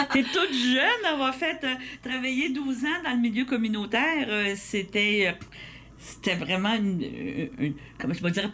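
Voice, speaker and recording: A woman radio host with a Québécois French accent talks in a chatty, rambling way, stumbling over repeated words as she builds her questions. Her pitch sits in a middle range, with faint noise under the recording. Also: fairly bright tone. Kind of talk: convivial radio interview.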